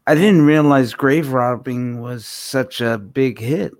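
A man's voice: medium pitch, slow pace, darkish tone, dry recording, studio quality. A man chatting casually as a radio co-host, mild and somewhat hesitant. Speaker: radio show co-host running playback. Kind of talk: casual chat.

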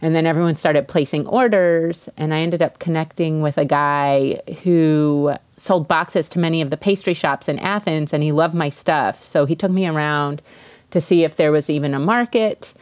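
A woman's voice: deep voice, normal speed, darkish tone, no room sound. A woman speaking as an interview guest, telling her story in a casual, chatty, informal way. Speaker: interview guest, baker and business owner.

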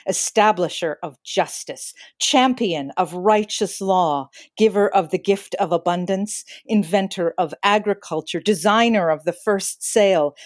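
A woman's voice: lowish voice, darkish tone, dry recording, clean studio sound. A woman co-hosting a podcast, moving between recitation and conversation. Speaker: podcast co-host.

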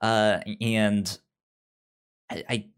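A man's voice: fairly low pitch, flat delivery, extremely slow, smooth. A man chatting casually about books as a podcast co-host, stammering and repeating sounds and words as he goes. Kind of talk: casual book discussion chat.

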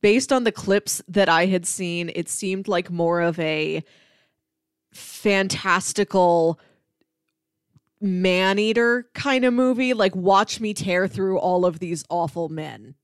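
Female podcast co-host, slightly low voice, casual film talk, very dry recording without room sound. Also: slow pace, clear, resonant tone, dry recording.

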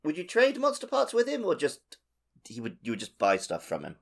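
A man's voice: on the high side, huge pitch swings, fairly quick, vocal fry. A man co-hosting a gaming podcast, talking in a casual, conversational way. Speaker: podcast co-host.

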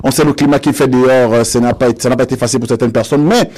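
A man's voice: medium pitch, fairly even intonation, rapid-fire, a little mellow. Man hosting a studio panel show. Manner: wordy, ceremonious introductions. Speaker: host. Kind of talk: live panel show presentation.

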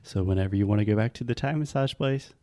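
A man's voice: lowish voice, quick pace, warm tone. A man co-hosting a casual chat podcast, patiently explaining English pronunciation. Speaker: podcast co-host, English teacher.

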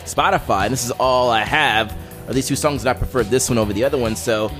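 A man's voice: mid-range voice, quick pace, a bit bright. Male podcast co-host, mid-range voice, rambling and opinionated music chat, music underneath. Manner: rambling, opinionated, crude humour.

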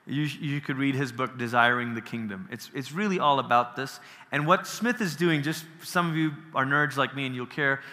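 Bright-voiced man lecturing in a hall. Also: medium pitch. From Malaysia. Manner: conversational, asks the audience questions, restarts, laughs easily. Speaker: workshop speaker. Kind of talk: casual lecture on theology.

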